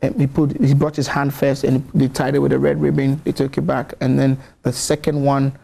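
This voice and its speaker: A man in his thirties with a Ghanaian accent, a gospel musician guest talking in a TV studio interview, hesitant and soft-spoken, often repeating words as he thinks. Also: medium pitch, completely flat delivery, normal speed, gravelly. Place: bright TV studio.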